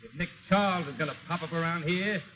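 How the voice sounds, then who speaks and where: A surly, jumpy gangster acted by a man from an old radio crime play, talking fast in tough slang. The broadcast is tinny with faint noise. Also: on the high side, lightly acted. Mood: suspicious, edgy. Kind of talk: 1930s radio drama acting.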